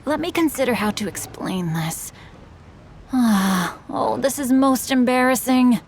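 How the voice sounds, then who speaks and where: A woman's voice: fairly high pitch, lively intonation, takes it slowly, slight background noise. A woman playing a polite, bookish teenage boy novelist in a video game, speaking in formal, eloquent phrases. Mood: flustered, embarrassed. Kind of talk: video game character voice acting.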